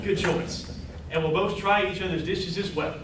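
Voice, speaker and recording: A man's voice: sits high, steady tone, moderate pace, fairly bright tone. A man acting a bombastic, boastful, competitive chef in an amateur stage comedy. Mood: indignant, challenging. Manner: theatrical, boastful declaiming. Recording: echoey hall, distant mic, noisy.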